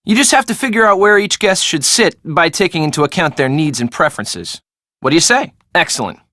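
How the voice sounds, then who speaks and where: A sarcastic young woman in a video game, casual and slangy. Her pitch swings freely as she makes her wry remarks, and the sound is close and dry. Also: expressive, fairly quick, fairly bright tone, no room sound. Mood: brisk. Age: young adult. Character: breezy, sarcastic young woman, evasive friend of the groom. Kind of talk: video game dialogue acting.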